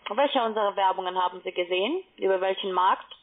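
A woman telemarketer giving an insistent, formal sales pitch by phone, with halting phrasing. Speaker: call centre telemarketer. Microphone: telephone call. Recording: narrow, tinny phone line.

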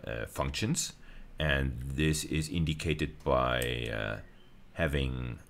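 A middle-aged man with an extremely low voice delivers a technical university lecture. He explains in measured steps, hesitating as he goes and checking in with short tag questions.

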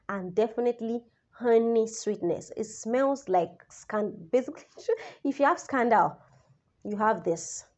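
A young woman reviewing a perfume in a casual vlog, slightly raspy as she recovers from the flu, talking chattily and straight to her viewers. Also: mid-range voice. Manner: chatty and expressive, addresses viewers directly. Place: indoors, small room, seated. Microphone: camera in front, head-and-shoulders framing.

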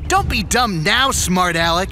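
A man's voice: high voice, expressive, measured pace, over music. A man voicing a cocky, playful teenage hedgehog hero with teasing slang in a cartoon comic dub. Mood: challenging. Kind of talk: animated comic dub acting.

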